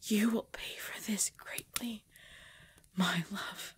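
A woman playing a proud, pregnant vampire leader in pain, speaking formally and dramatically in an ASMR roleplay with breathy drops toward a whisper. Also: mid-range voice, steady tone, natural voice. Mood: commanding. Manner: slow, formal, dramatic, often dropping to whispers.

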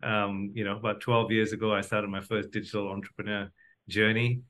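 A man's voice: fairly low pitch, flat delivery, a little fast, fairly bright tone. A middle-aged man from Malaysia giving reflective, conversational answers as an interview guest. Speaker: podcast guest, doctor turned entrepreneur.

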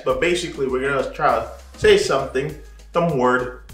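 A man's voice: mid-range voice, normal speed, a bit bright, reverberant, soft background music. A young man whose first language is Igbo, co-hosting a couple's game at home, playful and teasing, laughing often. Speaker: co-host of a couple channel. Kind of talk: playful casual chat.

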